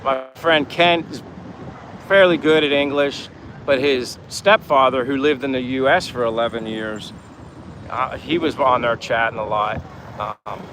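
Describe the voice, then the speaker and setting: A man chatting casually and meandering through an informal livestream, reading out viewer comments. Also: medium pitch. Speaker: livestream vlogger. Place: outdoors in the Philippines, in daytime. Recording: noisy outdoor ambience with wind, vehicles. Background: wind, vehicle and outdoor ambience noise.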